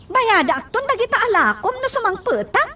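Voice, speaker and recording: A woman with a Filipino accent voicing a squeaky, chirpy, evasive young vendor in a radio drama, coaxing and pleading. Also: big pitch swings, brisk, bright, forceful tone, full character voice. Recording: noisy, distant from microphone.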